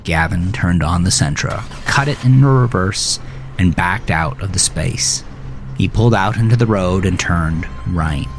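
Deep voiced guy narrating horror fiction, real slow n creaky. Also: soft background music.

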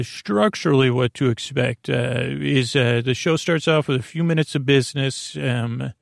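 A man with a gruff, very creaky voice delivers a meandering bedtime monologue as a sleep podcast host. He talks haltingly and wanders into tangents. The sound is dry and close, at an average male pitch. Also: dry recording. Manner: halting and digressive, trailing into tangents.

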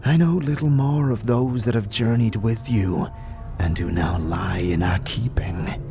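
Man acting a slow, breathy, dying old scribe. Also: on the low side, music in the background, natural voice. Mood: solemn warning. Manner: slow, solemn, archaic phrasing. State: sickly, coughing. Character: old, dying, weary, grave undead scribe.

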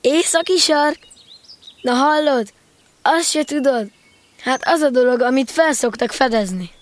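Woman playing a high-voiced confident little boy. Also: lightly acted. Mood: know-it-all. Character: confident, bossy, fond young boy adventurer. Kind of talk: children's audio drama acting.

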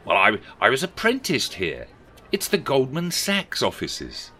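A man doing a shrill, gushing miser politician. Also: huge pitch swings, measured pace, bright, forceful tone, full character voice. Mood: excitable, whiny. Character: pompous money-obsessed male politician miser, excitable.

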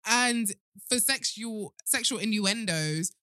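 A woman's voice: mid-range voice, animated, takes it slowly, bright, forceful tone, no echo, studio quality. A young woman of Yoruba background co-hosting a casual podcast chat, emphatic and talking straight to listeners. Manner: chatty, emphatic, addresses listeners directly. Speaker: podcast co-host.

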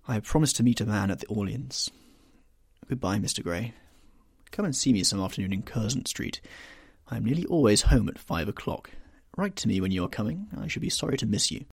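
A man's voice: on the low side, big pitch swings, fairly quick, breathy edge, no echo, clean studio sound, full character voice. A man playing a languid, witty aristocrat.

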